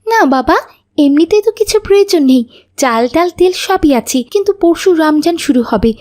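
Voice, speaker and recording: High-voiced, mellow dutiful young daughter. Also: female voice, expressive, normal speed. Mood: earnest, caring. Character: dutiful, caring elder daughter in an Islamic cartoon. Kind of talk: cartoon voice acting.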